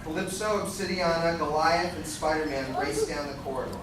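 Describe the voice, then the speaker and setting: A man's voice: a little high, fairly quick, natural voice. A man reading scripted narration for a live radio play, describing the action as narrator. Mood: brisk narration. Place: live convention stage reading in a large room. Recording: echoey noisy hall, recorded from far off.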